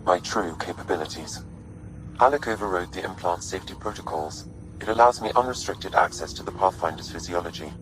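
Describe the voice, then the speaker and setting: Sci-fi game AI: low register, composed, formal, exact and helpful. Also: male voice, a bit bright, soft background music, poor audio. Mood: calm, informative. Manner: measured, formal, precise. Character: calm, courteous sentient artificial intelligence aiding an explorer. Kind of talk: video game voice acting.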